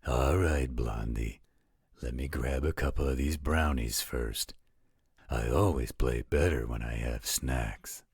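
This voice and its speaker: A gruff, defiant werewolf, acted by a man, rumbles extremely low with a heavily husky rasp. He talks slowly and bluntly, sure of himself, in a roleplay audio drama. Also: no room sound, clean studio sound, exaggerated voice. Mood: cocky. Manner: blunt, cocky.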